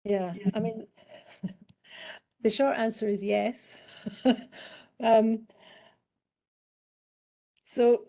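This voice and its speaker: A middle-aged woman with a British accent, warm and mellow in tone, answers as a panel guest. She talks in a thoughtful, halting way, restarting phrases as she works out her point. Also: a little high, steady tone. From United Kingdom. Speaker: guest expert in public health.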